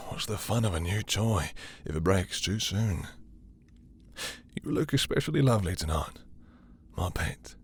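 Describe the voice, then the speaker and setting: A man playing a possessive, dominant vampire lord in intimate roleplay audio, with a husky, breathy voice and a teasing, seductive, condescending manner. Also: fairly low pitch, heavily acted. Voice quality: husky, breathy, dropping into whispers.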